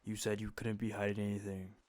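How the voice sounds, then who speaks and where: Guy acting as a creepy bully cop, low flat voice, condescending, dry close sound, anime fandub style. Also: no room sound, lightly acted. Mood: cold, intimidating. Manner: deliberate, menacing, condescending. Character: predatory, bullying male police officer. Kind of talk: fan-dub dramatic acting.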